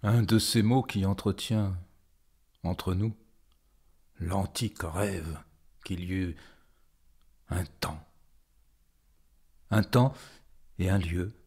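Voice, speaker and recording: Husky low-voiced man reciting poetry slowly. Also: a little mellow. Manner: deliberate phrasing with frequent pauses. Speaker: poetry performer.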